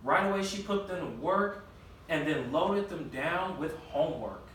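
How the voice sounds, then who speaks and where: A man's voice: fairly high pitch, expressive, a little slow, a bit bright. A man reading a children's story aloud interactively, narrating and prompting the listener with questions. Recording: very echoey room, distant mic, faint noise.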